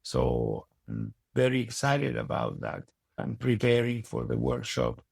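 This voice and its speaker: A man with a strong Italian accent speaking reflectively in an interview, pausing to search for his words. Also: on the low side, big pitch swings, creaky, a little mellow, no echo, clean studio sound. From Italy. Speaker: podcast guest and university educator. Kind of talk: conversational interview.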